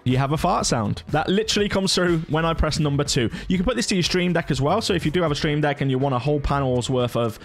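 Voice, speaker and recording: A man's voice: a little high, animated, fast, hardly any pauses, soft background music. A middle-aged man talking informally through a casual tutorial, in the manner of a gaming content creator. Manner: chatty, informal.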